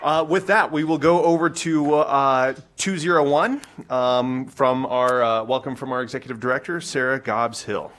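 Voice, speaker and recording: Man chairing a board meeting, relaxed and halting, mid-pitched voice. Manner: casual, halting with fillers and repeats.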